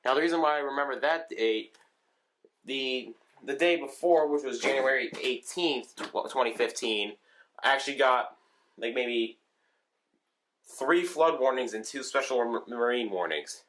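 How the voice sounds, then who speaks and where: A man's voice: medium pitch, unhurried, fairly bright tone, a little hiss or hum. A man casually showing his weather radio collection on video, rambling with lots of ums and restarts. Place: room at home with shelves of radios.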